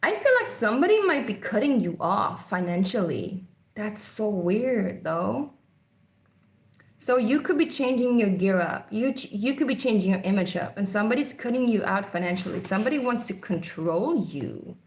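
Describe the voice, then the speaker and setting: Swedish-accented woman, casual tarot reader, meandering and repetitive, mid pitch, roomy echo. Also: animated, moderate pace. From Sweden. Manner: meandering, intuitive, repeats key phrases. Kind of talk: casual tarot card reading.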